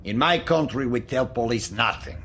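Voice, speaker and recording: A man's voice: medium pitch, moderate pace, fairly bright tone, a little hiss or hum, lightly acted. A man playing a pushy, hospitable Russian businessman in an audio drama, blunt and insistent in accented, clipped broken English. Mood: conspiratorial, blunt.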